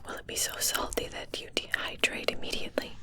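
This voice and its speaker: A woman playing a curious, callous witch who tests her potions, in a slow, breathy whisper for an ASMR roleplay. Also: lightly acted. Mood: sly curiosity.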